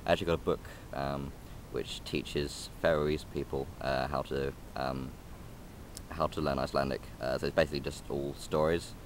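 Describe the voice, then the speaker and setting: A man's voice: rumbling low, level tone, normal speed, slight background noise. A young man vlogging outdoors, hesitant and rambling with many ums and restarts. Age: young adult. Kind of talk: casual rambling vlog. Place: outdoors in a park by a railway line. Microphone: phone or camera held close.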